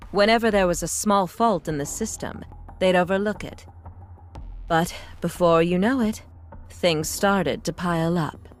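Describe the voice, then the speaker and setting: An actress playing a calm, knowing, regal queen who commands in a video game, with poised, unhurried delivery. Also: medium pitch, close to an everyday voice. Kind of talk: English video game dub acting. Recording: music behind the voice.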